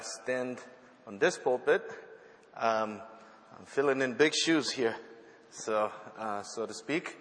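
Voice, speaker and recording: Male missionary preacher, non-native English speaker, halting delivery with many fillers, church hall reverb. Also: mid-range voice, a bit bright. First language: not English. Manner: halting, many fillers and restarts, self-joking. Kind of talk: sermon. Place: church sanctuary.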